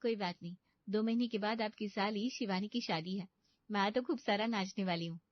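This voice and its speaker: A woman playing a sweet, eager young bride in an animated comedy story, speaking gently. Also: medium pitch, fairly quick, no room sound, natural voice. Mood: sweet, curious. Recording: dull, lo-fi studio voice-over.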